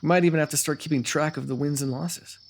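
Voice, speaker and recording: A man's voice: a little high, fairly even intonation, quick pace, gravelly. A man playing a confident, teasing royal rival turned ally in a fantasy roleplay audio, speaking in formal, wordy, playfully goading phrases.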